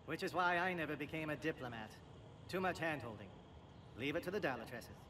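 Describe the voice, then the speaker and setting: A man playing a brisk amphibian alien military officer in a video game, speaking in a clipped, formal, dry way. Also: a little high, expressive, bright, forceful tone. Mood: brisk, wry. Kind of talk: video game cutscene acting. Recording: faint background noise in game capture.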